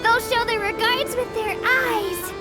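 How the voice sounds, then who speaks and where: A woman voicing a small, cheerful, friendly female creature tour guide in a childlike, squeaky game voice. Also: a little slow. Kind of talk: video game character voice acting. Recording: loud music over the voice.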